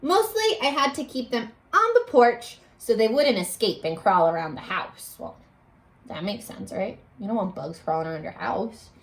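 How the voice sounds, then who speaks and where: A woman reading aloud to young children like a teacher, expressive and exclamatory, pausing to coax them with questions. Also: a little high. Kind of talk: animated children's read-aloud with questions to listeners.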